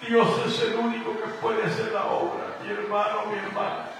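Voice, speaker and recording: A man from Guatemala, preaching a sermon with fervent, drawn-out delivery that sometimes turns half-sung. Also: high-pitched, level tone. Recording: very echoey, distant from the mic, soft music behind.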